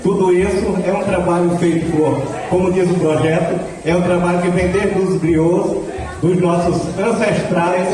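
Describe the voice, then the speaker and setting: A bumba meu boi master giving a slow, solemn thank-you speech to an audience. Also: male voice, high-pitched. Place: cultural center hall. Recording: echoey hall, distant mic, crowd.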